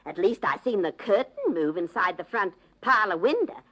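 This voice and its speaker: A woman's voice: medium pitch, very animated, moderate pace, pushed and bright. A woman playing a garrulous working-class English neighbour in an old-time radio drama, rambling along in a folksy, self-justifying way. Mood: defensive, gossipy.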